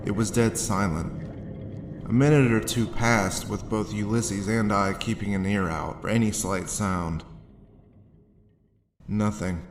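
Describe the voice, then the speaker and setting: A man's voice: lowish voice, unhurried, long pauses, roomy sound, a little hiss or hum, lightly acted. An actor playing a sardonic, world-weary man, wry and sarcastic, narrating a noir audio drama in the first person.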